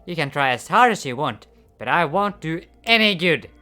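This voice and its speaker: A man voicing an intense, paranoid man who insists he has a system, in a loud, insistent, ranting manner for a comic read-aloud. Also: on the high side, big pitch swings, moderate pace, soft background music, voice pushed up.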